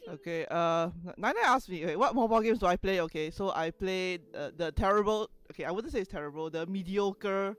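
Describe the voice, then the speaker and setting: A man chatting casually as a livestream co-host, halting and repeating his words as he goes. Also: sits high, fairly bright tone, clean tone. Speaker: streamer, co-host. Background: background music.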